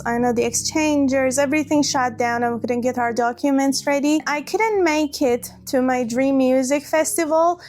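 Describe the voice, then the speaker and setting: A woman telling a personal story to camera, hurt and hesitant, often restarting her words. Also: sits high. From Iran. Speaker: vlogger.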